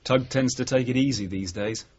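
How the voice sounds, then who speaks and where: An actor playing a measured, questioning male police detective sergeant in a 1980s radio drama. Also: mid-range voice, darkish tone, slightly rough, close to an everyday voice. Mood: quietly probing. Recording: dull, muffled radio broadcast recording.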